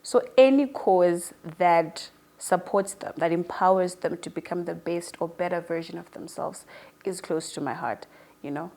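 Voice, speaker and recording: A woman's voice: on the low side, normal speed, warm tone. A woman in her early twenties talking casually as an interview guest, telling personal stories in a chatty way. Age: early 20s. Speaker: newly appointed online show presenter being interviewed.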